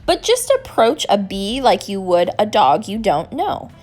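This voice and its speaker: A woman reading a children's book aloud in an expressive, scripted narrating style. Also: medium pitch, measured pace, soft background music. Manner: expressive, acts out dialogue. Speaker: storybook reader.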